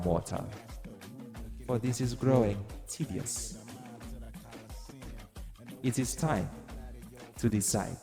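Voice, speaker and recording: Cavernous reverb over music, male actor as vengeful deity, lowish voice, menacing, grand, theatrical audio roleplay. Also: vocal fry, warm tone, full character voice. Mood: menacing, imperious. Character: cruel, vengeful male god bargaining with a mortal.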